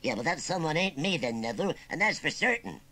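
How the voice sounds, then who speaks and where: A man voicing a rustic, sentimental old scarecrow uncle with a West Country accent, rambling and plaintive, in a raspy storybook voice. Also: a little high, sing-song, moderate pace, a bit bright, pitched above the performer's own voice, heavily acted. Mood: indignant. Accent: English West Country.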